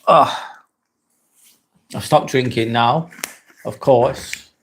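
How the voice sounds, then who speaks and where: A man in his forties with a Lancashire accent, hosting a casual live stream, chatting in a relaxed, rambling way with plenty of ums. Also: mid-range voice, extremely slow, slight background noise. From northwest England. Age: 40s. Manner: relaxed, rambling, reads chat comments aloud with many fillers. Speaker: livestream host.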